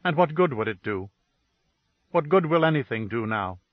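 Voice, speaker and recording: A man reading the lines of a grieving, bitter woman in a dead, flat tone, pitched lower than his narration. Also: moderate pace, no room sound, narrow, tinny audio, lightly acted.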